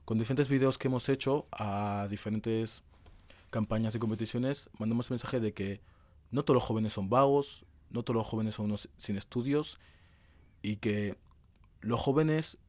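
A man's voice: on the low side, normal speed, darkish tone, no echo. A young man, giving conversational answers as an interview guest. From Madrid, Spain. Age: young adult.

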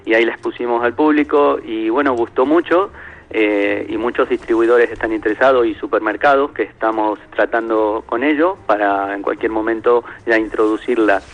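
A man's voice: fairly low pitch, moderate pace, highs cut off. A man from Argentina with an Argentine accent, talking as a guest about his family's sauce, hesitant and full of fillers and restarts. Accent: Argentine Spanish.

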